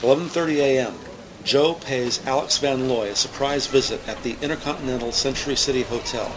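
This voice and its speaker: A man's voice: mid-range voice, fairly quick, noisy background. A man reading scripted, present-tense narration for an audio drama as its narrator. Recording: music bed under the voice. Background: music.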